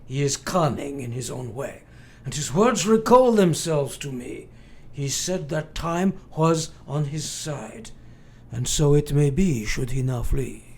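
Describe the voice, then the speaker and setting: An actor playing a grave, commanding elderly professor in a radio play, speaking in formal, old-fashioned, deliberate phrases. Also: male voice, medium pitch, expressive, a little mellow, husky. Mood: brooding.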